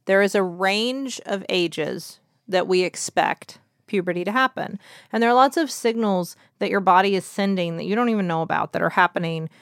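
A woman's voice: medium pitch, moderate pace, dry recording, studio quality. A woman doctor and podcast host explaining medical questions to a child in patient, simple, reassuring words.

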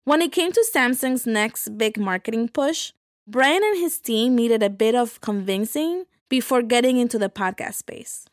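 A woman's voice: a little high, animated, normal speed, no room sound, studio quality. A woman hosting a podcast, reading scripted narration that links interview clips. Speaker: podcast host.

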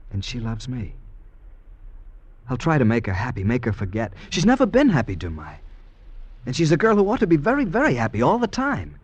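An actor playing a carefree, scornful young convict in love, with theatrical, emphatic delivery in an old radio drama. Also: male voice, medium pitch, wildly swooping pitch, a little fast, husky, dry recording. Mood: ardent. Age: young adult. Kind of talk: 1940s radio drama acting.

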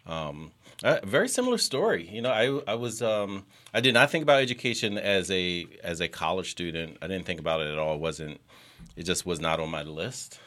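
A man's voice: low voice, expressive, a little fast, a bit bright. An American man talking informally and with some slang as a guest in a conversational interview. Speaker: interview guest, education leader.

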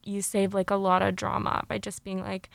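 A woman's voice: medium pitch, completely flat delivery, moderate pace, gravelly, no room sound. A young woman, a student producer, interviewing in a chatty, self-deprecating way. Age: young adult. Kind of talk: casual interview.

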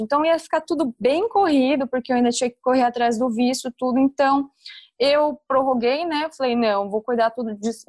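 Young woman, rural São Paulo Brazilian accent, higher pitch, dry recording, casual interview answers. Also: a little mellow, dry recording. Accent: rural interior São Paulo Brazilian (caipira). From interior of São Paulo state, Brazil. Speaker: podcast guest.

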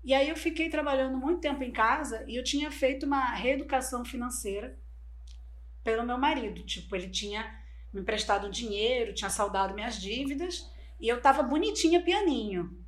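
Middle-aged woman, Spanish-native, talkative interview guest, pitched a little high. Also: fairly quick, a little hiss or hum. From Brazil. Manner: chatty storyteller, often adds "né". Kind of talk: casual interview chat.

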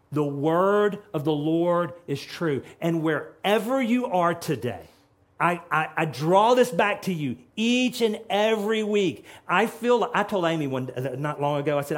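A man's voice: a little high, animated, moderate pace. A middle-aged man preaching a sermon in a church, earnest, with frequent restarts and repeated phrases. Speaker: pastor. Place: church sanctuary.

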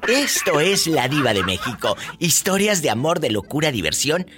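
A man with a Mexican accent hosting a call-in radio show, teasing callers and laughing loudly. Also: fairly high pitch, big pitch swings, normal speed, over music. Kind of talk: playful radio call-in banter.

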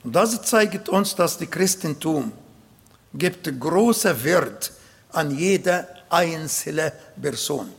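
A man preaching a sermon in German with an Arabic accent, non-native grammar and emphatic, slowly built phrases. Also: fairly high pitch, lively intonation, gravelly, breathy edge. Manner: emphatic, slow, swells louder on key points.

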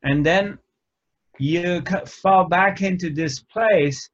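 A man speaking very slowly, with a slightly raised pitch, as he gives a contemplative spiritual teaching. He talks in short, deliberate phrases and leaves long gaps between them. The recording sounds dull and lo-fi. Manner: slow, measured, pausing often between phrases. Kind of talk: reflective spiritual talk.

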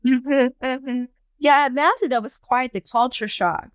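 A woman's voice: high-pitched, a little slow, clear, resonant tone, no room sound, clean studio sound. A woman hosting an interview podcast in a conversational style.

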